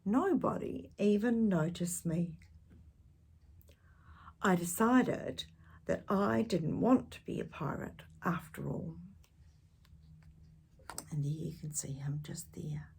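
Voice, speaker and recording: A woman reading a picture book aloud to young children, expressive and dramatic, with gentle questioning asides. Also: fairly low pitch, slow pace, creaky, long pauses, slightly rough. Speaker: children's storytime reader.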